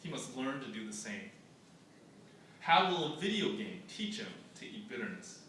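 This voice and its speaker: A man voicing a stern, self-sacrificing immigrant father with solemn, measured delivery in a live book reading. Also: medium pitch, very animated, fairly bright tone. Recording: echoey room, distant camera mic, noisy.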